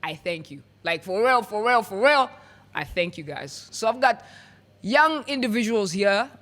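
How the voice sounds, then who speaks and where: A man's voice: sits high, expressive, unhurried, a bit bright. A man hosting a podcast, playful and hyped, often repeating his words. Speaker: podcast host.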